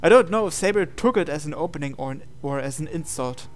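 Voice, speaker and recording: A man's voice: fairly high pitch, expressive, quick pace, soft background music. A man hosting a visual novel playthrough, reading the narration aloud dramatically and adding his own commentary. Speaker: host reading a game aloud.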